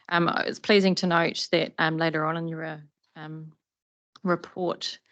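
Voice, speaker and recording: Brief: New Zealand woman, very low, flat, gravelly voice, committee member giving thanks. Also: dry recording. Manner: appreciative, halting with ums. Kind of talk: meeting remarks.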